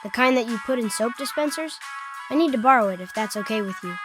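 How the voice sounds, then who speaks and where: A woman's voice: a little high, lively intonation, quick pace, lightly acted. A woman voicing a plucky, earnest kid hero with an urgent manner in a cartoon comic dub. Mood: urgent, polite. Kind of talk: fan comic dub voice acting. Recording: loud music behind the voice. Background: music.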